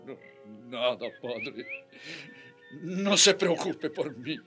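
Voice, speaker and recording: An actor playing a remorseful old friar in a radio drama, pleading in a halting, emotionally heavy way. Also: male voice, mid-range voice, expressive, over music. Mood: sorrowful. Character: anguished, remorseful old Spanish friar, defender of the oppressed.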